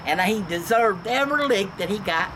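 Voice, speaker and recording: Female, older, Georgia Southern accent. Folksy, blunt storyteller with salty language, mid-pitched, clearly noisy outdoor home recording. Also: animated, moderate pace. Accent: Southern American, Georgia. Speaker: storytelling grandmother. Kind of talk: casual personal storytelling. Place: outdoors on a front porch. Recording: noisy outdoor home video, passing vehicles.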